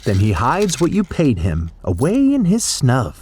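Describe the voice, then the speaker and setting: A man narrating a children's storybook in an expressive, theatrical way. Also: medium pitch, measured pace, a little hiss or hum. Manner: dramatic, theatrical.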